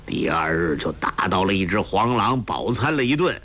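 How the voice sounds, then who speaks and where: A man voicing a gruff, crude old male beggar master who mocks and scolds in a storytelling audiobook. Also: low-pitched, sing-song, normal speed, fairly bright tone, full character voice. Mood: scheming, grumbling. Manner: rough, mocking, coarse and laughing. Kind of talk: audiobook character voice.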